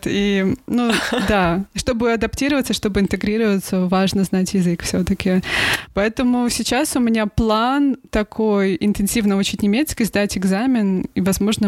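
A woman's voice: mid-range voice, no room sound. A woman from Russia, a guest author in a casual interview, talking thoughtfully and hesitantly with many filler sounds. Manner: hesitant, searching for words with frequent filler sounds; thoughtful, laughs easily.

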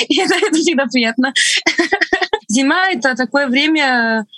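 A woman with a mixed foreign accent and Estonian as her first language, talking in a relaxed interview with a fairly high voice. She laughs a lot and feels her way through her sentences with small restarts. The sound is close and dry. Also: no room sound. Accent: foreign, mixed from several languages. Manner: laughs often, thoughtful with halting restarts. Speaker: guest.

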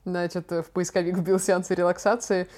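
A woman's voice: lowish voice, flat delivery, moderate pace, a little mellow. A young adult woman chatting casually as a podcast co-host, giving reflective opinions in an articulate, discursive way.

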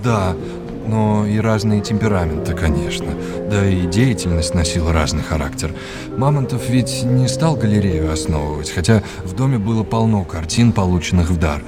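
A man acting as an erudite art historian with a deep voice. He is a wry mentor in an audio play, lecturing with old-fashioned courtesy and gentle irony over clearly audible music. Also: normal speed, close to an everyday voice. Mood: expansive, informative. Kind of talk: naturalistic audio drama acting.

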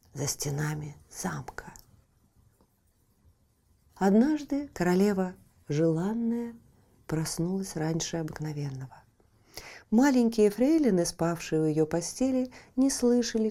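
A woman reading a fairy tale aloud for children, slow and soft, with phrase ends fading to a whisper. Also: lowish voice, gravelly. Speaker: storyteller.

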